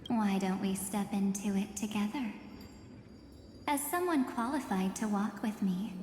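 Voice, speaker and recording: An actress playing a calm, enigmatic female spirit in a video game, with measured, cryptic, theatrical delivery. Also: medium pitch, a little hiss or hum, close to an everyday voice. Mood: inviting, mysterious. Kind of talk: video game story voice acting. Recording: echoey hall-like reverb over music.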